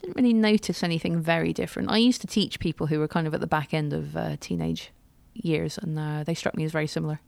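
A woman's voice: low voice, steady tone, quick pace, creaky, hardly any pauses, darkish tone, no echo. A woman co-hosting a podcast, chatting casually in a wry, self-deprecating way. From United Kingdom. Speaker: podcast co-host. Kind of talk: casual chat.

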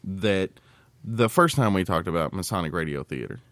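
A man's voice: sits low, expressive, normal speed, a bit bright, dry recording. An American man co-hosting a casual podcast, talking in a blunt, crude, colloquial way. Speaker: podcast co-host.

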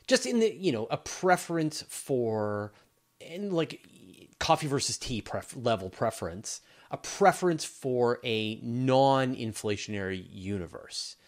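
A man with a medium-pitched voice talks as an interview host. His delivery is conversational and tentative, halting as he builds a long point.

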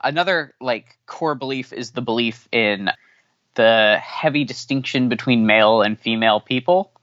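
A man whose first language is not English answering interview questions candidly, halting with many fillers and restarts. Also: mid-range voice, fairly bright tone, no echo. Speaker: podcast guest. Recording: dull lo-fi audio.

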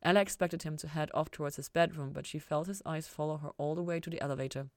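A woman's voice: low voice, fairly even intonation, quick pace, a little mellow, no echo, studio quality. A woman reading fan fiction aloud as scripted story narration.

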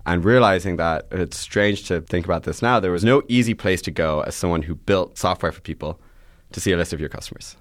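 Low-voiced young man, dry sound. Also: brisk, dry recording. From Ireland. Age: 20s. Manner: rambling, with frequent "like" fillers. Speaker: podcast guest, startup CEO. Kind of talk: casual interview chat.

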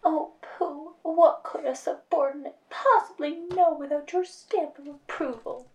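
Casting: woman as teasing drama protege, high and slow, roomy distant sound. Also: a little hiss or hum. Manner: arch, playful, theatrical. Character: sly young woman protege, teasing and secretive. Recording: roomy, from a distance, music behind.

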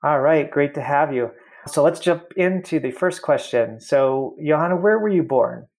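A man hosting an interview segment, chatty and friendly, full of fillers and quick follow-up questions. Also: fairly high pitch, lively intonation, creaky, a little mellow, rough recording. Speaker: interview host. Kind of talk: casual interview.

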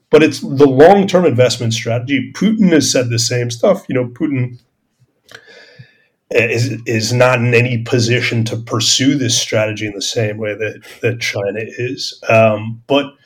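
A man speaking as a podcast host in an extended intellectual discussion, academic and discursive, searching for words and repeating phrases as he stresses key terms. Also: medium pitch. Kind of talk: extended intellectual discussion, answering listener questions.

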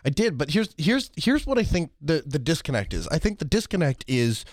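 Fast-talking male co-host. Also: a little high, very animated, no echo, studio quality. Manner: quick, assertive, argues a point plainly. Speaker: show co-host and producer. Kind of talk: casual talk show chat.

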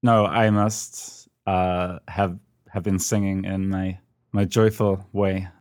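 Guy, lowish voice, halting chat w lots of ums, dry close sound. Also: flat delivery, a little mellow, no echo. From United Kingdom. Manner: hesitant, many ums and restarts, dry self-mocking humor. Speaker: podcast co-host. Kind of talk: casual podcast chat. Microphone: remote call.

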